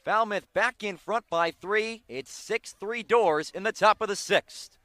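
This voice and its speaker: An American man doing live play-by-play baseball commentary on the radio, with excited calls on big plays. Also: sits high, a little slow, no echo.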